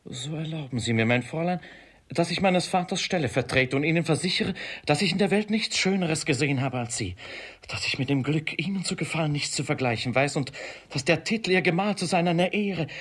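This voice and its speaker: An actor playing an ardent, defiant young gentleman in a classic comedy radio play, courtly and breathy. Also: a little high. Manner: courtly, impassioned.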